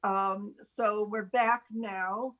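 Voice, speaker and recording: An older woman co-hosting a casual, unscripted show, speaking haltingly with pauses mid-sentence. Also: medium pitch, fairly even intonation, a bit bright. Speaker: show co-host.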